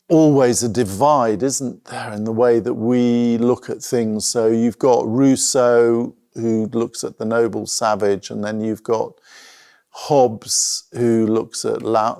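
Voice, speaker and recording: A middle-aged man speaks at an unhurried pace with a noticeable fry in his voice. He is giving an informal talk, reflective and a little halting as he searches for words. The sound is dry and close, with little room around it. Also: mid-range voice, a little mellow, dry recording. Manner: reflective, hesitant, thinking aloud with pauses. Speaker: art school director giving a talk. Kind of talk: informal illustrated public talk.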